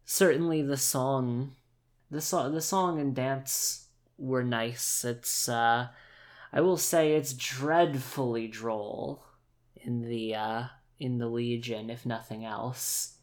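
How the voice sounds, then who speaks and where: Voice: mid-range voice, fairly even intonation, slow, natural voice. A player of unclear gender voicing a haughty, world-weary female military captain with dry, aristocratic phrasing in a tabletop game. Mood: guarded, wistful.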